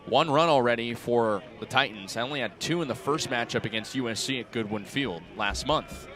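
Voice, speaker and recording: A man in his twenties calling baseball play-by-play for radio in crisp, clipped phrases that lift on the action. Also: mid-range voice, moderate pace, a bit bright, soft background music. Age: 20s. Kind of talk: live radio sports commentary. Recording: outdoor ballpark crowd behind voice. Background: ballpark crowd.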